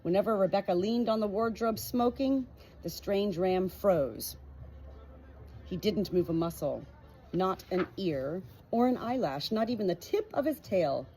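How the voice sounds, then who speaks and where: A woman reads a storybook aloud in a husky voice at a middle pitch. She follows a written text as an audiobook narrator. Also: animated, measured pace, dark tone, soft background music, rough recording. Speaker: audiobook reader.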